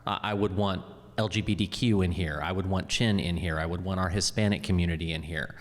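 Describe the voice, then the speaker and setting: Low-voiced man, halting, in a reverberant hall. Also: steady tone. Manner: halting, repeats words while thinking. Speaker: city council member at a public meeting. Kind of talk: unscripted meeting remarks.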